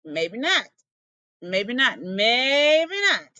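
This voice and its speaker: A woman's voice: on the high side, sing-song, very slow, sharp tone, dry recording, exaggerated voice. A woman voicing a mean old male snake in a folktale, wheedling and pleading with a sly hiss. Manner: hissing, wheedling, repetitive. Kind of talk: animated folktale storytelling.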